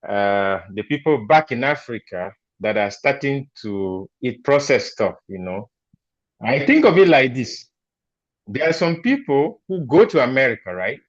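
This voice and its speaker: A man's voice: medium pitch, measured pace, dry recording. Male host, affirming, rhetorical questions, dry close sound, lo-fi. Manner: lively, affirming, uses rhetorical "right?". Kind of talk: casual group discussion.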